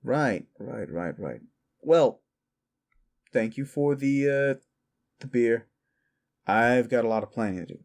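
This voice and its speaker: A man's voice: mid-range voice, expressive, slow pace, vocal fry, long pauses, darkish tone. A man voicing a brash, easily flustered werewolf bully boss in an audio drama. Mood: surprised, musing. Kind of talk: amateur audio drama dialogue.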